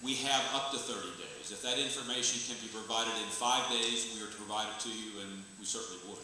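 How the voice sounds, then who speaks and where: A man serving as county attorney, explaining a law at a public meeting in a measured, careful way. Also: on the low side, steady tone, bright, forceful tone, a little hiss or hum. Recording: echoey meeting hall, faint clicks.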